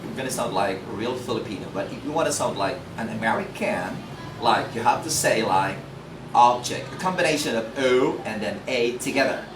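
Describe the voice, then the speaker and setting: A man teaching English pronunciation in a lively, joking classroom style, often asking listeners questions. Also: mid-range voice, a little fast, over music. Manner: animated, jokey, asks listeners questions. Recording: echoey room, mic at a distance, background noise.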